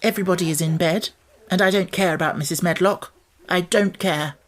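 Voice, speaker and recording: A man's voice: high voice, fairly even intonation, a little fast, a bit bright, pitched above the performer's own voice. A man voicing a contrary, determined young girl with a blunt, insistent manner for a storybook. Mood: defiant, determined. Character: contrary, stubborn ten-year-old girl, angry and determined.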